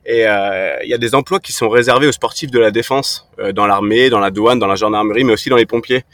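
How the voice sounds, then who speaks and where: A man's voice: lowish voice, fast talker, a bit bright, no echo. A man in his thirties from Brittany, a fitness coach answering interview questions casually, often hesitating with fillers and restarts. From Brittany, France. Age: 30s. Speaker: podcast guest, fitness coach.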